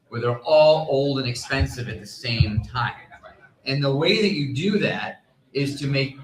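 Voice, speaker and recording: A man speaking as a sports analyst on a live panel, explaining his points in a measured, qualifying way. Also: medium pitch, a bit bright. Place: live convention panel stage. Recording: echoey live room.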